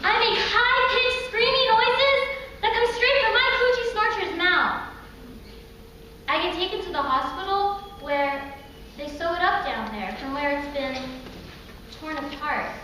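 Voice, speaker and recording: An actress playing a woman recalling her troubled girlhood in a dramatic, halting stage monologue, with childlike fright, recorded in an echoey hall. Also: very high voice, pushed and bright, exaggerated voice. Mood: dramatic recollection. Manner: dramatic, halting, vividly acted delivery. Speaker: stage performer. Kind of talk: theatrical monologue. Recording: echoey hall from far off, faint noise.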